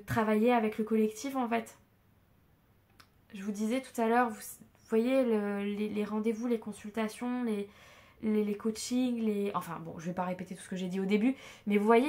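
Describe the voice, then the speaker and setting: A woman's voice: a little high, darkish tone. A woman with a blocked nose from a cold, giving a tarot reading in a loose, hesitant way, full of fillers and restarts. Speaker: tarot card reader. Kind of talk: casual spoken tarot reading to viewers.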